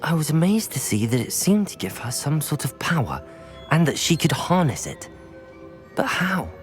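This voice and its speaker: A man's voice: on the high side, big pitch swings, normal speed, faint music behind. A man playing a confident young student wizard in a game cutscene, polite, articulate and eager. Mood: polite, curious. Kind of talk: dramatic game cutscene acting.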